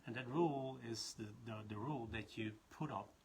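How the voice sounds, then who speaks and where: A man's voice: medium pitch, fairly quick, darkish tone, a little hiss or hum. A man from the Netherlands with a Dutch accent, a leadership trainer talking in a loose, rambling way with many restarts. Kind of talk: casual live talk.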